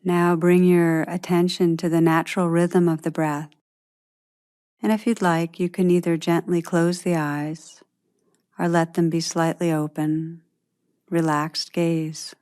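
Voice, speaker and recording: Slow, low-pitched woman guiding meditation. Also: level tone. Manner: gentle, unhurried, long pauses. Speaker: meditation guide. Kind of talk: guided meditation.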